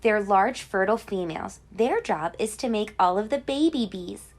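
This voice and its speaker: A woman who teaches kindergarten, reading a children's picture book aloud in an expressive, explanatory way. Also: medium pitch, normal speed. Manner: clear explaining to young children, animated emphasis.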